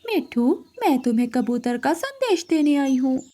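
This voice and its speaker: A woman voicing a sly, gossiping female crow who goads others in an animated moral story. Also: high-pitched, normal speed. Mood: sly, goading. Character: scheming, gossiping female crow stirring up quarrels.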